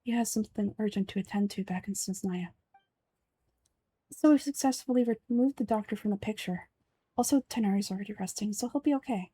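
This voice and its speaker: A woman's voice: mid-range voice, brisk, mellow, husky, no echo. A woman who is an amateur voice actor, performing a reading of video game dialogue.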